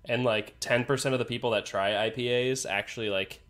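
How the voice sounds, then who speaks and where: Brief: young male co-host, stuffy nose, relaxed and joking, swears casually. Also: medium pitch, a little fast. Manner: laid-back, swears, laughs often. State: congested from a sinus infection. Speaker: podcast co-host. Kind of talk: casual chat over beers.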